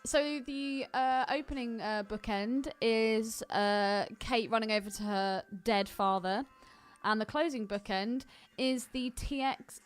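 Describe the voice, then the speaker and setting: Chatty higher-voiced woman on a podcast. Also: steady tone, takes it slowly, smooth, soft background music. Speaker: podcast co-host. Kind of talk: casual film podcast chat.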